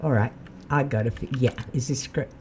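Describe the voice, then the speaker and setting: A man with a slightly croaky voice, chatting casually and thinking aloud while he live-streams a game. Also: mid-range voice, normal speed, a little mellow, slight background noise.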